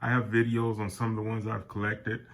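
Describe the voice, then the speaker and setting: An older man with a somewhat low voice, nasal and stuffy from a summer cold. He rambles casually to his viewers with plenty of fillers and restarts, calling them "guys" as he shows off items from his collection. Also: flat delivery, brisk, fairly bright tone. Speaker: airgun collector and channel host. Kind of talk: casual product talk to camera.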